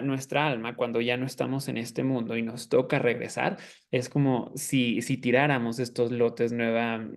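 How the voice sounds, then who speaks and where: Male guest expert, mid-pitched voice, conversational explainer, halting phrasing with repeated words. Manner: hesitant, halting, repeats words while searching. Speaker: guest astrologer.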